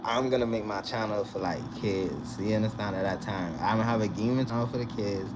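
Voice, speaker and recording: A young man from the Bahamas, vlogging in a casual, animated way and often checking in with the viewer. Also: on the low side, brisk. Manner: animated, repeats "you understand?" to the viewer. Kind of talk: casual direct-to-camera chat. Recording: noisy recording with vehicle sounds behind.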